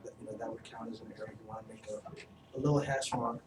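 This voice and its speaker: A man co-leading a staff training session, explaining the scoring in a measured way and correcting himself as he goes. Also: lowish voice, fairly even intonation. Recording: distant, echoey, noisy room.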